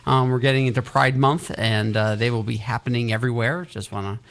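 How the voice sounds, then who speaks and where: A man's voice: mid-range voice, fairly even intonation, brisk, fairly bright tone. Male radio host, loose and talkative, mid-range voice. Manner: chatty, rambling, self-deprecating. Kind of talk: casual talk radio chat. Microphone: studio mic with headphones.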